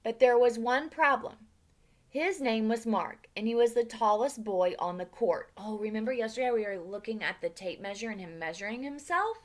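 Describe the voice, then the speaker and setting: A young woman at home teaching children new words and reading them a storybook aloud, with lively expression and soft whispered asides. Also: on the high side, normal speed. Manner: animated, drops to whispers for asides. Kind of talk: storybook read-aloud with vocabulary lesson.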